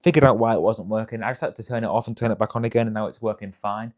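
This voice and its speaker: A man's voice: lowish voice, fairly even intonation, very fast, darkish tone. Chatty young man filming a gym vlog. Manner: chatty, rambling, self-correcting. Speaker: fitness vlogger. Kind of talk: casual vlog chat. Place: outdoors by a busy road; cold garage gym, echoey. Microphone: clip-on mic.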